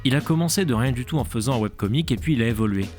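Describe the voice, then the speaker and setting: A man's voice: mid-range voice, lively intonation, racing, a little mellow. A young adult man delivering scripted narration as the narrator of a cartoon review show. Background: background music.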